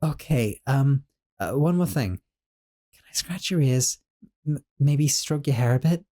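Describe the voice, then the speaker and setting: A man's voice: mid-range voice, expressive, unhurried. A man playing a doting sorcerer roommate. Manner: soft, doting, a little flustered. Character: doting young male sorcerer roommate, gentle. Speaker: audio roleplay performer. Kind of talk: scripted audio roleplay.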